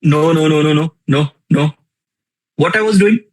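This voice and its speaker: A young man with an Indian accent running a live tools workshop, energetic and repetitive, firing questions at his audience. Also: a little high, normal speed. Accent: Indian English. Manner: energetic, repeats phrases, asks the audience questions. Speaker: workshop instructor. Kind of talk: live online tool demonstration.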